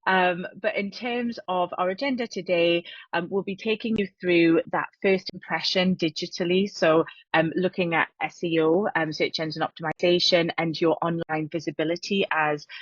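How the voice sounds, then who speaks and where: A low-pitched woman in middle age presents a webinar, pausing often on fillers and restarting phrases. The sound is dry and a little dull, with no room around her voice. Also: fairly even intonation, no echo. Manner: many fillers, repeated words, friendly. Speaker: webinar co-host, hospitality marketing consultant.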